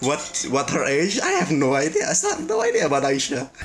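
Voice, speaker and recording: A man's voice: a little high, wildly swooping pitch, fairly quick, over music. A young man from Indonesia chatting casually on a video call, laughing and restarting words as he talks. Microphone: computer mic on video call.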